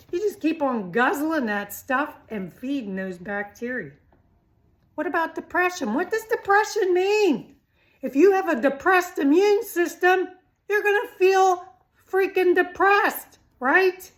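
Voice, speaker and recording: Casting: middle-aged woman, high voice, informal health vlogger, teasing and animated, plenty of rhetorical questions. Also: unhurried. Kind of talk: informal, chatty health talk to camera.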